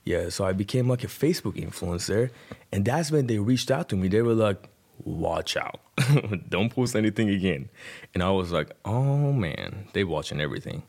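A man's voice: lowish voice, big pitch swings, normal speed, vocal fry, a little mellow. A man in his twenties with an Iranian accent, telling his personal story in a colloquial, conversational way. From Iran. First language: Persian. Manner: colloquial, punctuated with "like" and "you know". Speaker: podcast guest, rapper.

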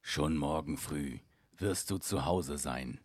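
A man voicing a sorrowful, lovelorn male beast in a storybook reading, with a slow, heavy, downcast delivery. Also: a bass voice, no room sound, clean studio sound, pitched below the performer's own voice, heavily acted. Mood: mournful.

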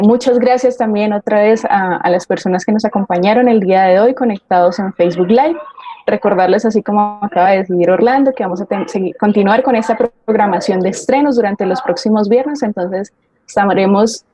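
A woman's voice: medium pitch, normal speed, dark tone, dry recording, rough recording. A woman giving unscripted closing remarks as a co-host. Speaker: communicator, co-host.

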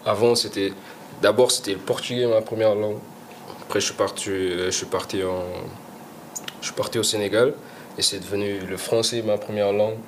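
A man's voice: fairly low pitch, steady tone, brisk, a little hiss or hum. A young man, a rapper guest, chatting casually in slangy talk, often trailing off and restarting his sentences. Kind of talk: casual chat.

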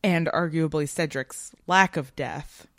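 A woman's voice: deep voice, measured pace, no echo, clean studio sound. A woman co-hosting a podcast, talking through ideas in a casual, analytical, informal way. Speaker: podcast co-host. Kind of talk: casual conversational literary discussion.

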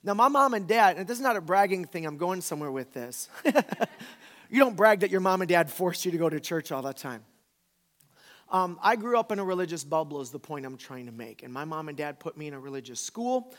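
High-voiced man in his forties, preaching casually. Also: lively intonation, brisk, a bit bright. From the American South. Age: 40s. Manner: informal, emphatic, repeats phrases for effect. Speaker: pastor. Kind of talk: conversational sermon.

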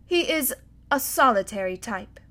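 A woman voicing a strict, stern older female tutor who gives commands in a clipped, forceful way. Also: on the high side, animated, measured pace.

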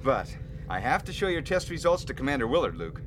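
An actor in a radio drama playing a friendly, confident rebel fighter pilot with a hearty, encouraging way of talking. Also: male voice, mid-range voice, sing-song, brisk, noisy background, close to an everyday voice.

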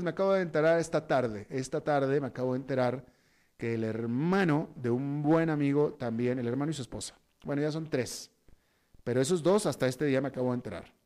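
A man's voice: medium pitch, a little mellow. Man giving news commentary. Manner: conversational and opinionated, with frequent fillers. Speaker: news host. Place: Costa Rica.

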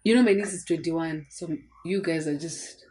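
A woman hosting a casual podcast chat, chatty and full of quick asides. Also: deep voice, warm tone. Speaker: podcast host.

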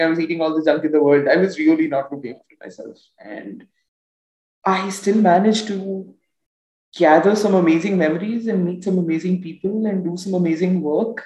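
A woman with a notably low, deep voice talks through her thoughts in a candid, reflective way. She laughs easily as a podcast guest. Her voice sits in a roomy, echoey space. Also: a little mellow. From South Asia. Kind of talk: candid conversational interview.

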